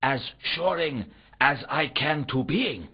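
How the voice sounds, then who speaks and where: A man's voice: a little high, expressive, slow pace, breathy edge, heavily acted. A man voicing an eager foreign archaeology worker in a comic video game, speaking in stilted, garbled word order with pompous long words. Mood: earnest, eager. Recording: dull, lo-fi game audio.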